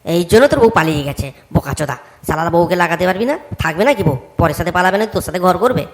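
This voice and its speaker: High, quick, blunt village man acted by a man. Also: animated. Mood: scornful. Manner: blunt, scolding, crude. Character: blunt, foul-mouthed village man judging a neighbour. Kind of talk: comedy cartoon voice acting.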